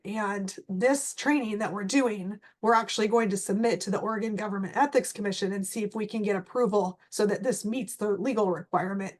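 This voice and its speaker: A woman, getting over a cold and losing her voice, giving an informal training presentation to a board with frequent fillers. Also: mid-range voice. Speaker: staff presenter at a board meeting.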